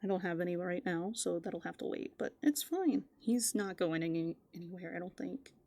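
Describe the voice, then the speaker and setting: A woman doing improvised ASMR roleplay, softly and playfully musing aloud, slipping into breathy whispers close to the microphone. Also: fairly low pitch, animated, moderate pace, no room sound. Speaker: ASMR performer in a raccoon avatar persona.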